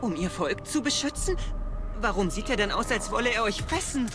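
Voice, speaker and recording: A man's voice: high-pitched, animated, brisk, over loud music. A man voicing an anxious, scholarly young mage companion in a fantasy game. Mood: nervous.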